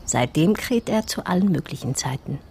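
A composed detective's assistant in a radio drama. Her low voice moves expressively through calm explanations, with faint background ambience. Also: moderate pace, vocal fry, slight background noise. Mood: calm, amused. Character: composed young woman detective's assistant, unhurried. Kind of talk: German radio drama acting.

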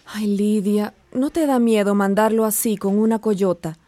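An actress playing a cautious, worried friend in a radio drama. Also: female voice, mid-range voice, fairly even intonation, unhurried, mellow, dry recording, natural voice. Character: cautious, worried friend who mixes in English.

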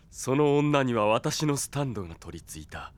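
An actor playing a smug, sinister young henchman in an audio drama, taunting with deliberate theatrical delivery. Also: mid-range voice, close to an everyday voice. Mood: smug, threatening. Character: smug, sinister young male villain, coldly loyal henchman.

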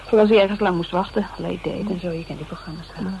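A woman's voice: fairly low pitch, fairly even intonation, gravelly, mellow. Shaken woman on a noisy recording. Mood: subdued. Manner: halting, colloquial, broken sentences. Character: shaken, grieving female secretary holding back tears. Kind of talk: naturalistic radio drama dialogue. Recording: dull, noisy radio drama recording.

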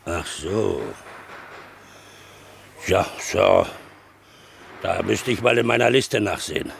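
An obliging garage keeper in a vintage radio play. His voice is heavily creaky and slow, hesitant and deferential, with clear noise around it. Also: low voice, heavily acted. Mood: deferential, apologetic. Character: obliging, harried male parking garage keeper. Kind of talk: vintage German crime radio play acting.

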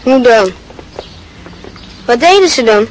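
A woman's voice: on the high side, expressive, slow, slight background noise, poor audio. A woman playing a naive, curious schoolboy with a hesitant, questioning manner in a radio drama. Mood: curious, unsure.

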